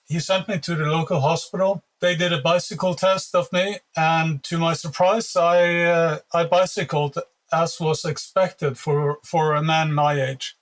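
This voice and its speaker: A Norwegian man in his late fifties, a native Norwegian speaker, talking in a measured, earnest podcast interview with many hesitant fillers. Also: on the high side, a bit bright, rough recording. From Norway. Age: 50s. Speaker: interview guest, patient advocate.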